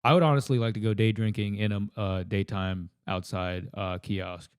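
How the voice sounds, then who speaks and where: A man's voice: fairly low pitch, fairly even intonation, normal speed, clear, resonant tone, no echo, clean studio sound. A man from the United States doing an improvised, crude, self-deprecating comedy rant into a handheld mic at a table in a house. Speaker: comedian contestant. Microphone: handheld microphone.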